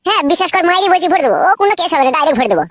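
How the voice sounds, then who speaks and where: A brash young cartoon boyfriend played by a voice actor with a high, pitched-up voice. He rattles along very fast and swoops widely, crude and pushy in every phrase. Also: very animated, fairly bright tone, no room sound, exaggerated voice. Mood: lecherous boasting. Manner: brash, foul-mouthed. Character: crude, pushy, money-minded young male lover. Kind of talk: crude comic cartoon dialogue.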